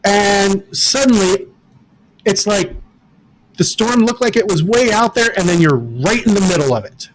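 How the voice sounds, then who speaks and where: A man's voice: high-pitched, lively intonation, measured pace, poor audio. A man acting as game master at a tabletop game, explaining the rules patiently and methodically.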